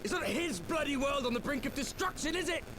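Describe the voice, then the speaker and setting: An actor playing an embittered, sardonic warrior in a fantasy video game, speaking bluntly and dramatically. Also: male voice, very high-pitched, animated, fairly quick, noisy background. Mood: bitter, taunting. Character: embittered, sardonic male warrior from a doomed world.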